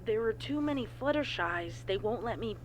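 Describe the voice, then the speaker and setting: A woman's voice: a little high, expressive, moderate pace, a crowd in the background. A woman reading a scripted horror story as a narrator, in the form of a report. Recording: clear background noise.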